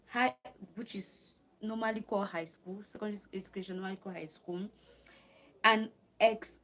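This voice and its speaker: Brief: woman from Cameroon, mid-pitched, informal livestream host who reads notes aloud, repeats lines and chats with viewers. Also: sharp tone, poor audio. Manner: repeats phrases, reads from notes, answers viewer comments, asks for likes. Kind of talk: live stream talk reading notes and chatting with viewers.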